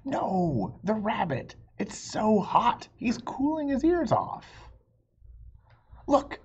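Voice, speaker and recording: A man voicing stubborn, childlike bickering friends from a picture book, excitable and exaggerated. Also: high-pitched, slow pace, breathy edge, dull audio, pitched above the performer's own voice, full character voice. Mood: excited bickering. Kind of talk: children's picture-book dialogue read aloud.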